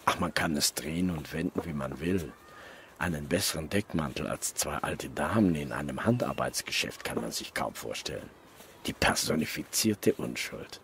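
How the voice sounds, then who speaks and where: A man playing a calm, probing police detective who narrates his case in a crime radio play, measured and matter-of-fact. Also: very deep voice, slight background noise, heavily acted. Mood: musing.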